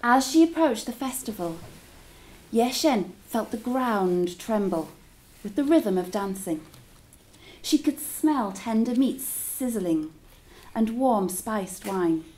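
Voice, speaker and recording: A woman reading a story aloud to children as a teacher, in an expressive, deliberate storytelling style with drawn-out words. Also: a little high, low-quality recording.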